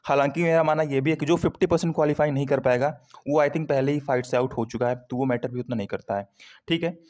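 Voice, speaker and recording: A man's voice: mid-range voice, fast talker, a little mellow. A man giving an informal, conversational explainer to students, often adding check-in tags and mixing in English terms. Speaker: exam prep YouTuber.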